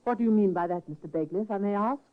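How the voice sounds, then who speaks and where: A woman's voice: medium pitch, rapid-fire, close to an everyday voice. A woman playing a scheming nurse, tinny old radio. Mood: guarded. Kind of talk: 1940s radio drama acting.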